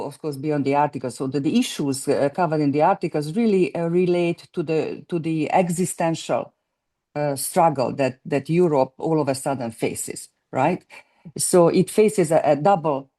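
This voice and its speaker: A woman in her sixties, a guest economist speaking in a halting way as she searches for words. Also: low-pitched, mellow. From Hungary. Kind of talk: conversational interview.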